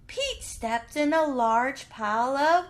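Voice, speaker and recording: A woman reading a picture book aloud to preschool children in an exaggerated, drawn-out way, putting questions to young listeners. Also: high voice, lively intonation.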